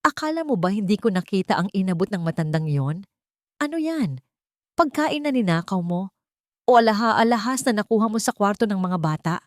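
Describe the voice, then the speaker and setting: A woman voicing an angry, suspicious billionaire who speaks forcefully and accusingly in an audio drama. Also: mid-range voice, very animated, fairly quick, dry recording, clean studio sound, voice pushed up, natural voice. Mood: furious, accusing. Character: angry, suspicious, domineering billionaire man, master of the mansion.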